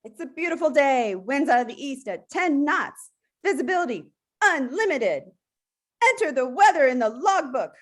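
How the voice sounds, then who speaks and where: A bold eight-year-old cartoon adventurer girl, acted out by a woman, bossy and excitable. The voice sits high and swings widely as she issues brash commands. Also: normal speed. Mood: commanding, playful. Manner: brash, commanding, animated delivery. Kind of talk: table read of an animated film script.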